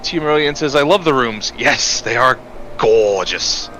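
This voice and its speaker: Bright-voiced laid-back male streamer. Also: medium pitch, lively intonation, unhurried, slight background noise. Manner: laid-back, laughs often, reads chat aloud. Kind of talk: casual let's-play chat.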